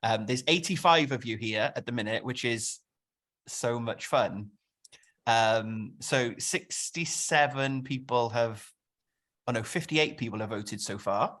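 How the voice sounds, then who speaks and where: A man from the UK co-hosting a casual video call party, chatty and lively with a self-mocking humor. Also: mid-range voice, a little slow. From United Kingdom. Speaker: co-host. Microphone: video call mic.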